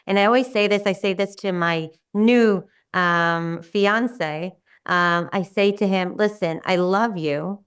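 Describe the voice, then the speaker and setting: A middle-aged woman with an Israeli accent, speaking English as her second language, heard slightly away from the mic. Her voice is smooth and resonant. She rambles warmly, often restarting her sentences. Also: lowish voice, distant mic. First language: Hebrew. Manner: rambling, warm, with many restarts and fillers. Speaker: guest. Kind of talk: casual interview talk.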